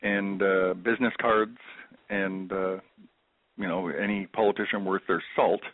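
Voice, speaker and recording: A man's voice: sits low, fairly even intonation, unhurried, dry recording. A man hosting a call-in discussion, chatty, with lots of fillers and restarts. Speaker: host of a call-in webinar. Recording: phone-line audio.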